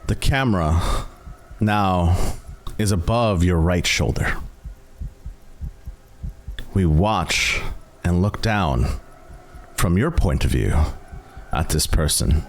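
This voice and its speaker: Low-voiced man narrating with dramatic swings. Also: animated. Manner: theatrical, suspenseful, with deliberate pauses. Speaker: game master. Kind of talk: slow, dramatic cinematic narration of a tabletop game.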